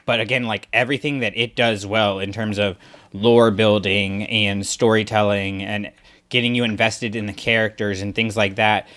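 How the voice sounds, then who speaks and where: A man co-hosting a podcast, talking casually with lots of fillers and getting excited as ideas come to him. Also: fairly low pitch, normal speed. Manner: rambling, filler-heavy, gets excited. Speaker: podcast co-host.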